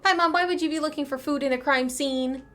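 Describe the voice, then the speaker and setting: A woman's voice: sits high, fast, a bit bright. A woman streaming a video game, casually thinking aloud and reading out what is in the game. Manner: thinks aloud in short remarks. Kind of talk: casual live playthrough commentary, reading game text aloud. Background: game music.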